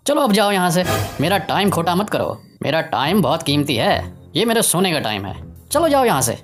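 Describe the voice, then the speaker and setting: A woman voicing a cocky, bossy village man in an animated story, speaking in an emphatic, colloquial, scolding way. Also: fairly low pitch, moderate pace. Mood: boastful, dismissive. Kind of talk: animated Hindi moral story dialogue. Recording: music behind the voice.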